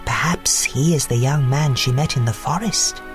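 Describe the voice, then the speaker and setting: A woman's voice: very deep voice, moderate pace, soft background music. A woman voicing a feisty, stubborn female fairy in a children's storybook, with an emphatic manner. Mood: earnest.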